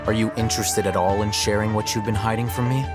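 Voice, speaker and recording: An actor playing an aloof, analytical young male scholar in a video game, speaking in a measured, formal, dryly probing way. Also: fairly low pitch, steady tone, loud music behind the voice, natural voice. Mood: cool, probing. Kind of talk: video game cutscene acting.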